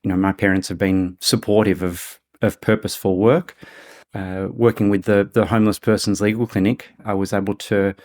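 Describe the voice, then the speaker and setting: Low-voiced formal man from Australia. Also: level tone, a little mellow. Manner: formal, deliberate. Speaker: human rights advocate. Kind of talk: interview answers.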